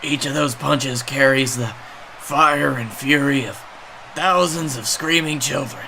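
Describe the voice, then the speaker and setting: A man's voice: mid-range voice, a little slow, vocal fry, pushed and bright, slight background noise, poor audio. A man giving comic color commentary on wrestling as a co-host, wry and mock-dramatic in manner.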